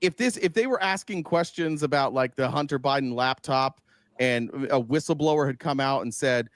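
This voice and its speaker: A man's voice: mid-range voice, moderate pace, no echo. A man giving casual political commentary, emphatic, throwing out rhetorical questions and swearing freely.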